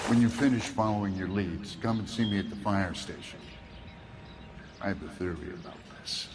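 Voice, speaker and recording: An actor playing a shrewd, needling fire investigator in a video game, with noise behind the voice. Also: male voice, lowish voice, normal speed, natural voice. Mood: matter-of-fact. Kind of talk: dramatic video game acting.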